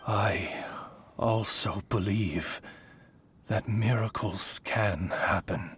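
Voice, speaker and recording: A man's voice: fairly low pitch, extremely slow, a bit bright, a little hiss or hum. A man playing a courtly, loyal familiar to a young lady in a video game, speaking in formal, eloquent, butler-like phrases. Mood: courtly, composed. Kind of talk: video game cutscene voice acting.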